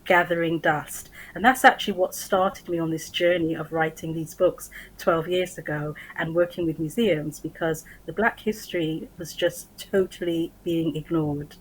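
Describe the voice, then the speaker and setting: A woman's voice: low-pitched, steady tone, moderate pace, hardly any pauses, a little hiss or hum, poor audio. A woman in her forties, an author speaking as a guest on a TV interview over a video call, talking in an articulate, earnest way. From Barbados and London. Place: small room at home. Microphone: headset on video call.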